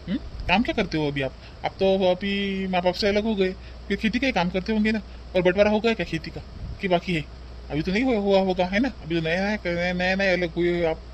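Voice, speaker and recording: A man's voice: high-pitched, slight background noise, close to an everyday voice, low-quality recording. A man playing a polite, advising brother-in-law in a family cartoon, with a questioning manner. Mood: inquisitive. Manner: questioning, code-switches between Hindi and Marathi. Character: visiting educated brother-in-law, polite, advising, mildly probing.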